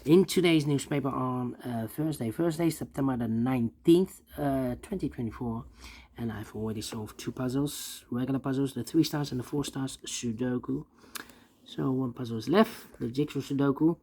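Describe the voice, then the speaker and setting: Male host for a step-by-step puzzle lesson; relaxed, gravelly tone, mid pitch, halting speech with repeated phrases. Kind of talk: step-by-step spoken tutorial, unscripted.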